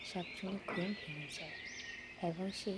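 A woman's voice: lowish voice, steady tone, normal speed, heavy background noise. A woman narrating a scripted folk tale for a cartoon.

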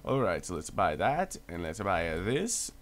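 A man's voice: sits low, very animated, unhurried. A young man hosting a gaming video, chatting and joking playfully with a friend while he plays. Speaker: gaming channel host. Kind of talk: casual gameplay banter.

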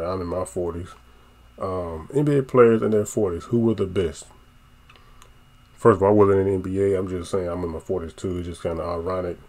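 A man in his forties with a low, deep voice chats loosely to his viewers, reacting to the clips he's watching. He sounds relaxed and talkative, close on a headset mic. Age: 40s. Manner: relaxed, chatty, talks back at the video. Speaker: reaction channel host. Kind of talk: casual reaction commentary. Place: small room at home.

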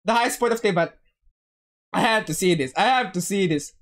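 A woman livestreamer chatting casually over a game in an excitable, joking way, often repeating phrases. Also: medium pitch, fairly quick. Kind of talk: casual game stream chat. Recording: game music behind.

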